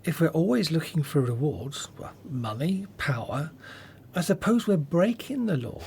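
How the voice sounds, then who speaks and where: A man's voice: a little high, sing-song, normal speed, vocal fry, a little mellow, a little hiss or hum. A man playing a devoted, guileless disciple in a radio drama, with a plain, questioning way of talking. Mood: sincere.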